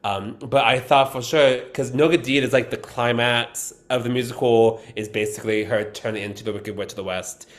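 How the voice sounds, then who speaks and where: A man joins a podcast as a guest and talks things through out loud. His delivery is casual and eager, and he muses as he goes. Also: medium pitch, fairly even intonation, normal speed, few pauses. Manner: thinks aloud, eager, musical fan. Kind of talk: casual chat.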